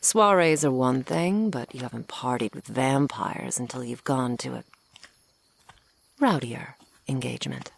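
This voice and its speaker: A woman playing a teasing, menacing century-old female vampire in an intimate ASMR roleplay, sardonic and unhurried, sometimes slipping toward a whisper. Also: extremely low pitch, gravelly, full character voice. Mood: playful boasting.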